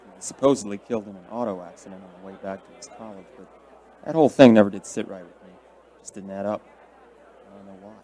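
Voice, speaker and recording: An actor playing a steady, folksy, plain-spoken small-town sheriff in a radio drama. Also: male voice, fairly low pitch, fast talker, some chatter behind, lightly acted. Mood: pondering, authoritative.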